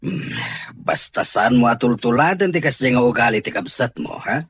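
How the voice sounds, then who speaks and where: Man playing a very raspy, troubled father. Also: mid-range voice, lively intonation, moderate pace, fairly bright tone. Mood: worried. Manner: troubled, admonishing. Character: worried middle-aged father of grown daughters. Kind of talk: radio drama acting.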